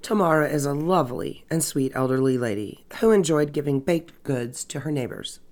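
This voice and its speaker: A woman's voice: low voice, lively intonation, moderate pace, a little mellow. A woman hosting a true crime podcast with a stuffy nose and a scratchy voice, narrating casually with wry, sarcastic asides. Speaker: podcast host.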